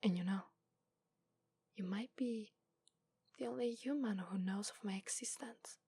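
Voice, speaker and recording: A woman with an Italian accent voicing a gentle, lovestruck female fox spirit in a soft, whispered ASMR roleplay. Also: medium pitch, unhurried, slightly rough. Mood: tender whisper.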